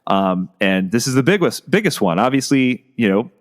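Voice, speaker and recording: A man hosting a podcast, slightly hoarse from a cold, talking in a casual, rambling way with many fillers. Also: mid-range voice, very animated, moderate pace. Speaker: podcast host. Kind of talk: casual solo podcast intro.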